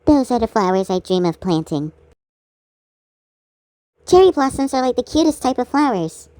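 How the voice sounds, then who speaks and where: A woman's voice: fairly high pitch, very animated, moderate pace, mellow, dry recording. A woman voicing a sweet, dreamy female flower-lover in an amateur cartoon. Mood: dreamy, pleased.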